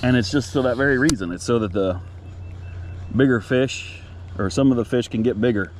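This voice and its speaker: A man's voice: medium pitch, normal speed, a little hiss or hum. An American man chatting casually and in a folksy way to viewers while fishing from a kayak, recorded outdoors on an action camera. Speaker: outdoor vlogger, angler. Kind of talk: casual fishing vlog chat. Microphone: action camera at chest or head.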